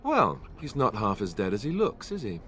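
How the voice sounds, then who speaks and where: Wry game warrior: composed, dry, reassuring, on the low side. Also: male voice, wildly swooping pitch, normal speed, a bit bright, a little hiss or hum. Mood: calm, wry. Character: calm, wry young warrior guide. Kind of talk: video game voice acting.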